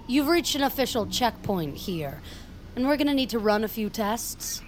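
A woman's voice: on the high side, animated, moderate pace, a little hiss or hum, lightly acted. Actress as firm, commanding female officer. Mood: stern. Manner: formal, commanding. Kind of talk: audio drama acting.

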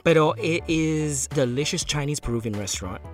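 A man's voice: on the high side, expressive, a little fast, soft background music. A man co-hosting a casual radio show, chatting with playful banter and slipping into Spanish. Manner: bilingual banter, switching to Spanish. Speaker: co-host.

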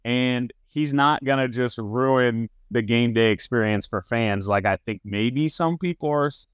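A man's voice: mid-range voice, unhurried, clear, resonant tone, no echo, studio quality. A man hosting a casual sports talk show, conversational and emphatic with his opinions. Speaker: sports podcast host.

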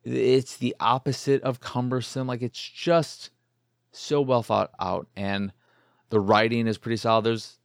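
A man's voice: medium pitch, little pitch movement, measured pace, creaky, no room sound, studio quality. A man hosting a podcast, giving a casual, rambling solo video game review and repeating words for emphasis.

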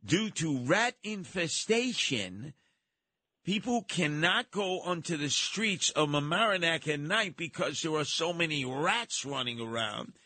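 A man's voice: fairly high pitch, expressive, measured pace, bright, forceful tone, no echo. A man with a New York accent hosting live call-in talk radio, talking in an emphatic, theatrical, rambling way. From New York City. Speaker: radio talk show host.

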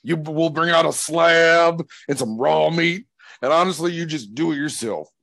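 A man's voice: fairly high pitch, normal speed, vocal fry, fairly bright tone, dry recording. A man in his thirties hosting a podcast, playful and teasing, laughing often. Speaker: podcast host. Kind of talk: casual chat.

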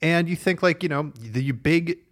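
A man's voice: a little high, normal speed. A man co-hosting a tech podcast, talking casually and arguing his point. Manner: casual, argumentative, lots of "like". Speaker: podcast co-host. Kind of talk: podcast discussion.

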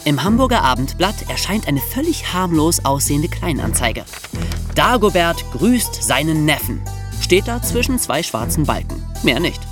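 Woman, slightly low voice, lively swooping intonation, podcast host delivery, music behind. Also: moderate pace. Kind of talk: scripted narration and podcast banter.

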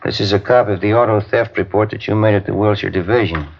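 An actor playing a blunt police sergeant in a radio crime drama, pressing a suspect for the truth. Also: male voice, fairly low pitch, fairly even intonation, fast, creaky, slight background noise. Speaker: police sergeant, detective partner. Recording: dull lo-fi 1950s radio recording.

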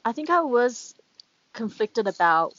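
A woman's voice: on the high side, very animated, a little slow, a bit bright, dry recording, muffled recording. A woman guest being interviewed, talking reflectively with many fillers and restarts. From Australia. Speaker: author guest. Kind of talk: casual interview talk.

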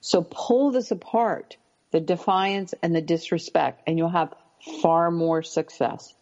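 Low-voiced woman giving parenting advice. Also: muffled recording. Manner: calm, deliberate, slows words for emphasis. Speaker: parenting expert guest. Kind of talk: advice-giving interview talk.

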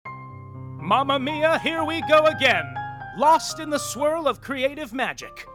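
High-pitched, catty theatrical narrator. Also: male voice, lively intonation, takes it slowly, over music, exaggerated voice. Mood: arch, teasing. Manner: arch, theatrical, playfully sarcastic. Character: gossipy, teasing, catty storyteller of backstage Broadway. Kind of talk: audio comedy narration.

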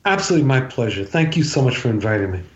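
A man giving political analysis as a podcast guest, speaking in a halting, deliberate, measured way. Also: big pitch swings. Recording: roomy, dull low-fidelity sound.

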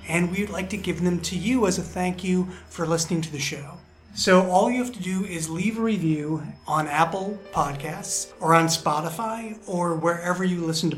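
A man, the creator of a show, reading a scripted thank-you announcement to listeners. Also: a little high. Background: music.

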